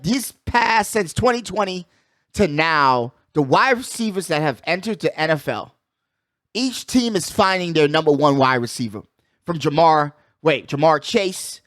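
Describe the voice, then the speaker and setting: A man's voice: sits high, a bit bright, no room sound, clean studio sound. A man hosting a casual sports talk show, slangy and emphatic, often repeating his catchphrases. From United States. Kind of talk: casual hyped sports chat.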